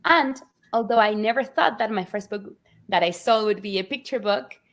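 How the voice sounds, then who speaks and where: A woman's voice: mid-range voice, moderate pace. Middle-aged woman. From Argentina. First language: Spanish. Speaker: picture book author, guest. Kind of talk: warm personal storytelling in interview.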